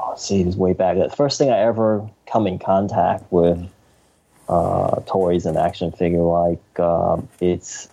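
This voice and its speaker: Low, slow-talking man from Hong Kong. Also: level tone, creaky, darkish tone, slightly rough, poor audio. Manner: hesitant, many fillers and restarts, laughs often. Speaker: podcast guest. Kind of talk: casual chat.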